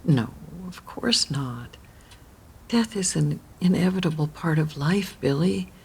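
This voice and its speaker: A woman playing a kindly, cryptic elderly widow in an audio drama, gentle and measured with a husky, creaky voice. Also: low-pitched, animated, exaggerated voice. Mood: calm, cryptic. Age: older.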